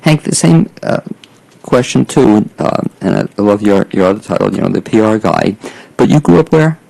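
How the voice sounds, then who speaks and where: A man's voice: lowish voice, lively intonation, normal speed, gravelly, warm tone, low-quality recording. A man hosting a talk show, chatting casually with guests, joking and stumbling a little over names. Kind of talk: casual interview chat.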